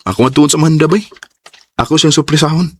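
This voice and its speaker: Young lovestruck man, Cebuano accent, husky voice, eager and sincere, radio drama. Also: a little high, fast talker, dry recording.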